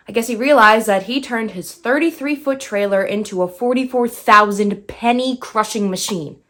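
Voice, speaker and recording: Woman doing a bitter sarcastic dead girl monologue, slow n emphatic, kinda high voice, stagey. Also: fairly bright tone. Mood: sardonic, brash. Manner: sardonic, emphatic, swinging into outbursts. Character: bitter, brash dead young woman recounting her death. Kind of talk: theatrical dramatic monologue.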